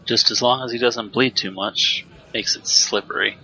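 A man playing a menacing cybered street fighter on a mercenary team, unhurried in his threats, in an audio drama. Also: medium pitch, fairly even intonation, pushed and bright, highs cut off.

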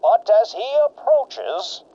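Man as a very high-pitched bombastic serial announcer. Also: big pitch swings, slow pace, dark tone, husky, heavily acted. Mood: grandiose. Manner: pompous, grandiose. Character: bombastic old-time superhero serial announcer. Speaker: narrator. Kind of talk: melodramatic radio play narration. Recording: muffled, noisy lo-fi audio.

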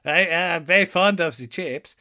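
A man voicing a pompous, fussy prince with an odd put-on accent, speaking in halting, stammering restarts and grand formal phrases in an improvised comedy sketch. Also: on the high side, sharp tone.

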